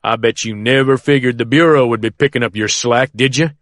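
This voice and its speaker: A man voicing a hot-tempered, physically huge male sheriff who barks his orders in a coarse manner and drops to a soft menace when he threatens someone. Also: mid-range voice, fairly quick, no echo, close to an everyday voice. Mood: barking, loud.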